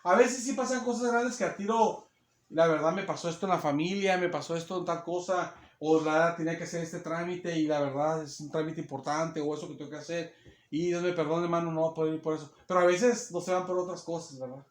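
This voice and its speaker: A man's voice: a little high, steady tone, fairly quick, roomy sound. A man preaching a sermon, with a strong voice that he holds back and then lets rise into emphatic bursts. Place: church.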